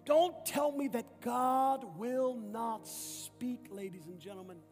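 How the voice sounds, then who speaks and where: A man's voice: extremely high pitch, slow pace, darkish tone. A man preaching a sermon as pastor, emphatic and repetitive, often restarting his phrases. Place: church sanctuary. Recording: echoey hall with faint music.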